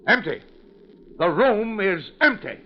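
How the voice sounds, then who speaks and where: An actor playing a brusque, commanding ship's captain in 1940s radio style, wary and irritable, giving gruff, clipped orders. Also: male voice, high voice, sing-song, slow pace, full character voice. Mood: urgent, irritable. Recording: muffled, noisy 1940s radio recording.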